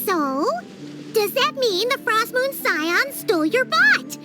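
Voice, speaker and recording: A woman's voice: very high-pitched, very animated, slow pace, exaggerated voice. A woman voicing a tiny, inquisitive, outspoken fairy companion in a video game, childlike and exclamatory. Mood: surprised, curious. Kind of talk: animated game cutscene dialogue. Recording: loud music behind the voice.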